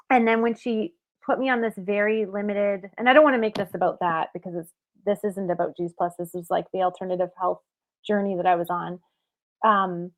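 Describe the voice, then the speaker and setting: A woman speaking candidly and self-mockingly in a casual chat, with many fillers. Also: medium pitch. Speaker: guest reacting to her old video. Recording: tinny phone-like call audio.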